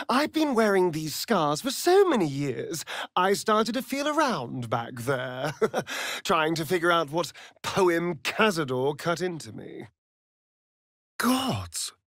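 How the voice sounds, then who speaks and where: Snarky vain vampire elf for a game, english accent, breathy, slow drawl that swoops all over. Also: male voice, on the high side, fairly bright tone, no echo, studio quality, exaggerated voice. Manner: arch, drawling, mocking, swooping theatrically. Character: sardonic, vain, theatrical male elven vampire rogue. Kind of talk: video game character voice lines, theatrical delivery.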